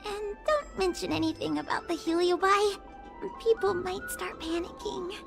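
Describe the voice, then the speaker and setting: A woman's voice: very high voice, normal speed, gravelly, over music. An actress playing a small, earnest, cautious young girl judge in an anime-style video game. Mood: worried, earnest.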